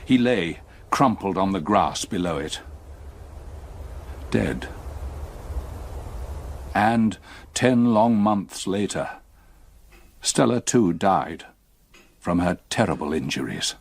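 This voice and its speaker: Haunted elderly Englishman, gothic radio drama. Measured, literary, burdened, deeper register, very expressive range. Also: slightly rough, full character voice. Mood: dread, grief. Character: haunted, grief-stricken old man recalling his past.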